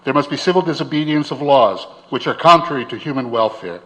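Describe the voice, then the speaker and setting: A man's voice: mid-range voice, lively intonation, brisk, a bit bright, poor audio. Declamatory man giving a dramatic reading. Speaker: reader. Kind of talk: dramatic reading of a political editorial.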